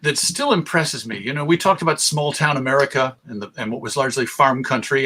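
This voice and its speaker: A man's voice: medium pitch, bright, forceful tone. A man presenting an informal history talk as host, enthusiastic and emphatic as he lists examples. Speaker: presenter, radio show host.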